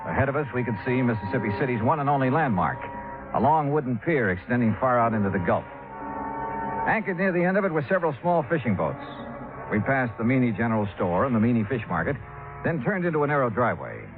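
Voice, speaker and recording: A man acts a hard-boiled American investigator in a vintage radio drama, dry and probing. His mid-pitched voice moves in lively swings. The recording is thin and narrow-band. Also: fast talker, music in the background, lightly acted. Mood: cool narration. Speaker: lead detective and narrator. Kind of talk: 1950s radio detective acting and narration.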